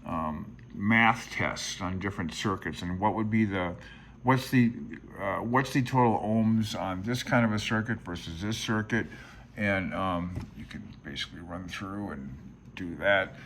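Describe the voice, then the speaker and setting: A man's voice: low-pitched, measured pace, a bit bright, slight background noise. A man in his sixties giving casual, rambling commentary as he pages through a book, laid-back and reminiscing as he thinks aloud. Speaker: amateur radio hobbyist presenting a handbook.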